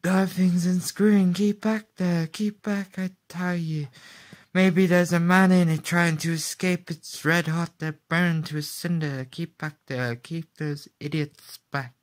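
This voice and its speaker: A man voicing frantic onlookers and policemen shouting warnings in a radio play. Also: high-pitched, fairly even intonation, a little slow, pitched above the performer's own voice.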